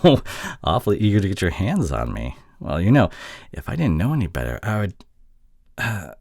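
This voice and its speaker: A man playing an apologetic, insecure boyfriend in an intimate audio drama, speaking haltingly with sighs and breathy murmurs. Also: sits low, huge pitch swings, darkish tone, no room sound, clean studio sound, full character voice. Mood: teasing. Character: apologetic, pleading long-distance boyfriend, insecure young man. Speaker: audio roleplay voice actor.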